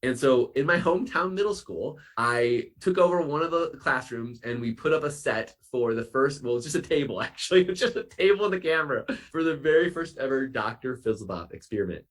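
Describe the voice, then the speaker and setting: A man speaking as an interview guest, telling conversational stories with animated quoted dialogue. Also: medium pitch, a little fast, hardly any pauses. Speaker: podcast guest, children's science educator and author.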